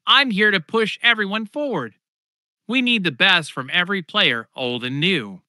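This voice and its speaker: A man voicing a calm, determined football manager who rallies players with earnest, emphatic audiobook dialogue. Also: high voice, normal speed, no room sound, clean studio sound, pitched above the performer's own voice, full character voice. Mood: calm, persuasive.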